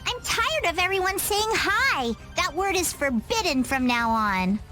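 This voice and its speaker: Bossy plucky young girl in a game, super high animated voice, some music under. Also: unhurried, heavily acted. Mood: playfully bossy. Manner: declarative, spirited. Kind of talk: video game voice acting.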